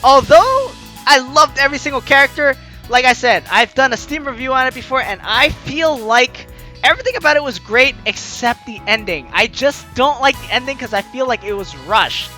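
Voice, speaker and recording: A gaming host gives let's play commentary with a bright, forceful edge and very animated pitch. The delivery is expressive and effusive, full of exclamation. Light game music plays behind the voice. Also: moderate pace. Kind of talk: let's play commentary, also reading on-screen text aloud.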